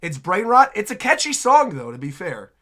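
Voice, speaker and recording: A host giving casual reaction commentary on game trailers in an excitable, exclamatory way, often repeating phrases. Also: mid-range voice, moderate pace.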